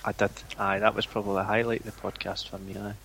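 A Scottish man chatting casually on a podcast as the guest, with a flat, even way of talking that he calls boring. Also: fairly low pitch, fast, creaky, slight background noise. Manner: flat, self-described boring voice. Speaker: forum owner, guest. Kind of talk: casual chat.

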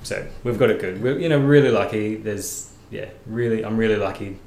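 A man's voice: fairly low pitch, fairly even intonation, fairly quick, a little mellow. A man with an Australian accent, a podcast guest chatting casually, friendly and hesitant with many ums. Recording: roomy echo with faint noise.

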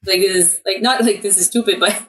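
A woman's voice: medium pitch, fairly even intonation, fast, slight background noise. Casual French Canadian woman. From New Brunswick, Canada. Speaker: interview guest, hairstylist. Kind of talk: casual, anecdotal interview chat.

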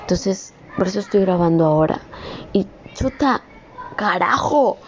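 A woman's voice: medium pitch, animated, a little slow, lo-fi sound, a little hiss or hum. A young woman, a communications student, talking in a rambling, earnest personal vlog that rises into emphatic scolding. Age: young adult.

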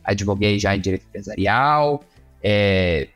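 A man's voice: lowish voice, expressive, a little slow, a bit bright, faint music behind. A man from Minas Gerais with a Brazilian accent, explaining things casually as an interview guest, often searching for words.

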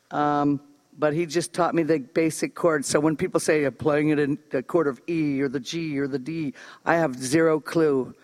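A man giving an earnest testimony and joking asides at a church service. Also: a little high. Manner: earnest, self-deprecating, quick asides. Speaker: church service speaker and singer. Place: church service with live music. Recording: loud instrumental music behind.

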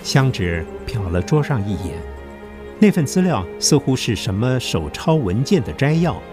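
A man's voice: mid-range voice, very animated, moderate pace. A man narrating a scripted historical story for an audio drama. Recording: music behind the voice. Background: clear background music.

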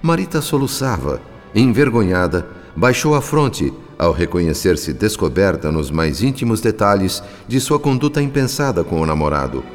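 A man's voice: low-pitched, sing-song, normal speed, few pauses, in a large hall, faint music behind. A man narrating a radio soap opera from a script in a solemn, theatrical, literary manner.

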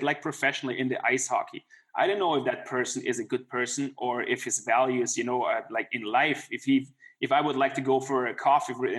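A middle-aged man speaking over a call in a relaxed interview. He restarts his sentences often and leans on "you know" as he works through his thoughts. His voice sits at an ordinary middle pitch. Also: low-quality recording. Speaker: interview guest, former professional ice hockey player. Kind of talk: casual conversational interview. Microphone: remote call.

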